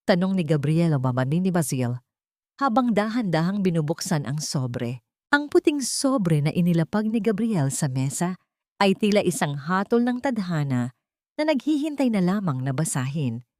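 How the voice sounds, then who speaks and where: A woman's voice: lowish voice, big pitch swings, moderate pace, a little mellow, no echo, clean studio sound. A woman reading a dramatic story aloud as a scripted audiobook narration.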